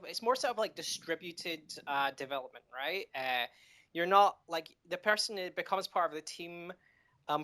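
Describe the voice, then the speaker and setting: A middle-aged man from Scotland with a Scottish accent, answering interview questions with frequent pauses, fillers and restarts. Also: fairly high pitch, pushed and bright. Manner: hesitant, many ums and restarts. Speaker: game developer guest.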